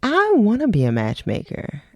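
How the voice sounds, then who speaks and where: A woman's voice: medium pitch, wildly swooping pitch, normal speed, no echo, studio quality. A woman co-hosting a casual radio chat, joking and laid-back with lots of laughter. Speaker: co-host.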